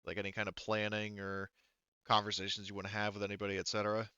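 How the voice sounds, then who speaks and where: Male game master, smooth low voice, casual rambling delivery, dry close sound, slightly muffled voice-chat audio. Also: monotone, fast, pushed and bright, no echo. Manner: rambling, thinking aloud, casual swearing. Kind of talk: casual tabletop game narration over voice chat. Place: online voice call.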